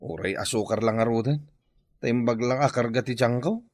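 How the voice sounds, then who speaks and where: A man's voice: mid-range voice, moderate pace, darkish tone, dry recording, studio quality, natural voice. A man with a Filipino Ilocano accent playing a hungry, jobless father who pleads plaintively in a radio comedy drama. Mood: pleading, hungry. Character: hungry, pleading jobless husband and father longing for his family.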